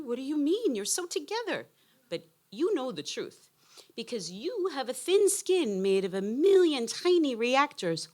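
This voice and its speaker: A woman in her fifties reading a personal essay aloud with emotional, emphatic phrasing. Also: fairly high pitch. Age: 50s. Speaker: essayist reading own work.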